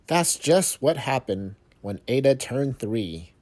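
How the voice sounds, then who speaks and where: A man reading a rhyming picture book aloud to young children, with expressive, measured phrasing and emphatic pauses. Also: medium pitch.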